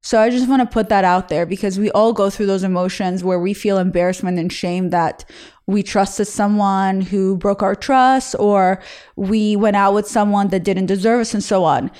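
A woman's voice: mid-range voice, fairly even intonation, moderate pace, a little mellow, clean tone. A woman hosting a confession show, reacting bluntly with swearing and giving encouraging advice. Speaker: host. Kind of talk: casual reaction talk.

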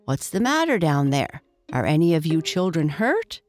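A woman's voice: sits low, very animated, normal speed, soft background music. A woman voicing a friendly, reassuring man who works in a barn, in a children's storybook. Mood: concerned.

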